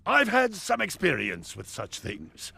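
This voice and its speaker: A man playing a crotchety, stern old sage in a video game, with a thin, creaky voice. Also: high voice, huge pitch swings, unhurried, bright, forceful tone, full character voice. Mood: stern, solemn. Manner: stern, cautioning, emphatic. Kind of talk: animated video game acting. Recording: orchestral game music behind.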